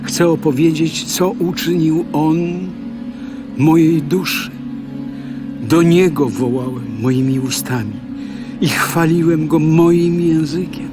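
A man giving a dramatic, prayerful psalm recitation, expressive and sometimes hushed at phrase endings. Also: a little high. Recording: loud music behind the voice.

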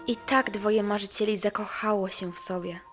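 A woman's voice: medium pitch, fairly even intonation, normal speed, fairly bright tone, lightly acted. Woman voicing an earnest young princess over music. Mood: solemn storytelling. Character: idealistic anxious young princess of hell, storytelling. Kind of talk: fan-dubbed animation, storybook narration and dialogue.

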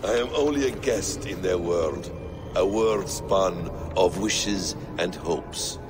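An actor playing a calm, cryptic ancient man in a game cutscene, speaking in a measured, solemn way over music. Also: deep voice. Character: slow, cryptic, calm ancient male being. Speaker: ancient being in a simulation.

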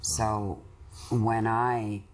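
A middle-aged woman in couples counseling, explaining her feelings in a measured, reflective way with pauses between phrases. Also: extremely low pitch, flat delivery, darkish tone, a little hiss or hum. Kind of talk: reflective personal conversation. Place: small TV-style studio set, indoors.

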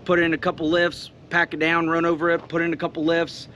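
Male, 30s, slightly high voice, practical tradesman explaining on site, engine rumble behind. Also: completely flat delivery, fairly quick, bright, forceful tone. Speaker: grain bin builder. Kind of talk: casual explaining on a job site. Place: outdoors on a farm building site. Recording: clear outdoor machinery rumble and noise.

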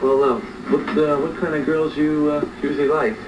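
A man's voice: a little high, level tone, normal speed, far from the mic, noisy background, close to an everyday voice. A man playing a naive, mild-mannered cop in a film comedy, hesitant and stammering, on echoey playback. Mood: awkward, naive. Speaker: actor playing a police patrolman. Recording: echoey TV playback from afar, music behind.

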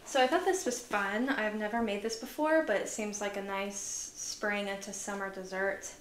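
A woman vlogger chatting casually through a grocery haul, relaxed and full of fillers, sounding tired. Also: medium pitch, normal speed. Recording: echoey room with noise.

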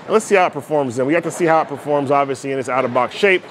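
A man's voice: mid-range voice, very fast, fairly bright tone, a little hiss or hum. Man explaining bowling ball motion. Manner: explanatory, many fillers and "right?" asides. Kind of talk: casual product demo commentary. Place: bowling alley.

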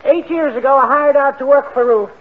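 An actor playing a plaintive, hapless hired farmhand in a 1940s radio comedy. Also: male voice, extremely high pitch, brisk. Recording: tinny 1940 radio broadcast.

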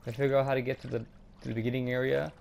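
Young man giving halting game commentary. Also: medium pitch, little pitch movement, gravelly, darkish tone, slight background noise. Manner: halting, many ums and restarts. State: slightly raspy throat, clearing it. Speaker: gaming YouTuber. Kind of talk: casual gameplay walkthrough commentary.

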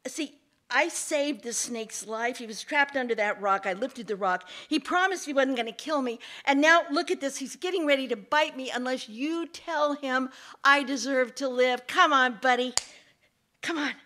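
A woman voicing an anxious, trusting man in an animated fable, pleading earnestly and coaxing for his life. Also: high voice, moderate pace.

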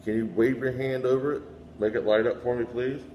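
A man's voice: medium pitch, fairly even intonation, brisk, muffled recording. A middle-aged man hosting a paranormal investigation, talking off the cuff and putting questions aloud to unseen spirits in a bare room. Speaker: paranormal investigator, show host. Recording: echoey room with light background hum.

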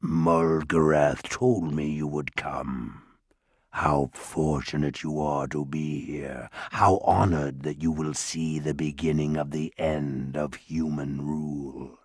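A man voicing a haughty, scheming dwarf lord in an audiobook, speaking in a slow, gloating, menacing way. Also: a bass voice, fairly even intonation, no room sound, clean studio sound, pitched below the performer's own voice, heavily acted. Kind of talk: audiobook character voice.

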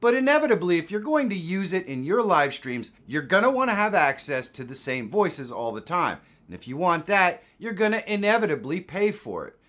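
A man in his fifties with an American accent, giving a casual software tutorial in a plain-spoken, conversational way. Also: high-pitched, very animated, normal speed, few pauses. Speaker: tutorial presenter. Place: small indoor home studio.

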